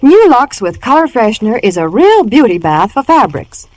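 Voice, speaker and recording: A woman's voice: on the high side, very animated, normal speed, dry recording. A woman delivering scripted 1940s radio advertising as a commercial spokeswoman.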